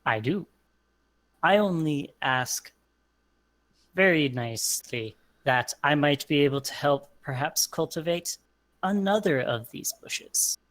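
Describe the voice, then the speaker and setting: A man's voice: a little high, animated, slow, fairly bright tone. A man playing a character in a tabletop game, polite and formal when in character. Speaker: player. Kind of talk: casual in-character roleplay.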